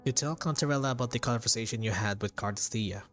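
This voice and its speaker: A man's voice: medium pitch, fast talker, a bit bright. A man giving casual game playthrough commentary as a streamer, rambling as he thinks aloud. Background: game music.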